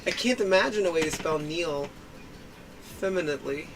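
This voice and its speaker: A man's voice: fairly high pitch, normal speed, recorded from a distance, background noise. A man chatting casually and joking beside a crafter, with a laid-back manner. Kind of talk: casual chat.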